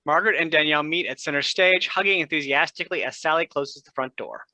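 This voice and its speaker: A man reading stage directions aloud in a brisk, matter-of-fact way. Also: on the high side, bright, forceful tone, dry recording. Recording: dry close recording.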